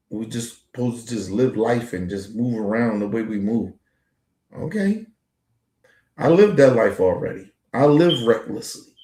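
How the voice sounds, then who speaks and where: A man's voice: medium pitch, darkish tone, echoey room. A man in his forties talking in a casual, rambling monologue as a podcast host, heavy on street slang and profanity. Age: 40s.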